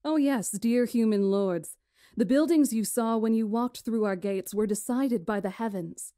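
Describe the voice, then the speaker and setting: A woman playing a stately magician in a visual novel, recounting history in a measured, formal, solemn way. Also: fairly high pitch, dark tone, no room sound, clean studio sound, natural voice. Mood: solemn, proud. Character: stately female magician elder recounting ancient history to lords. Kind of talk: visual novel character acting, storytelling.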